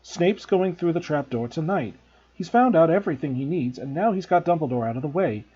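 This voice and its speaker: A determined young schoolboy, urgent and earnest, as a man reads him in his own voice, a little raised. The delivery swings lively in pitch over a husky tone, and the sound is dull and lo-fi. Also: a little fast.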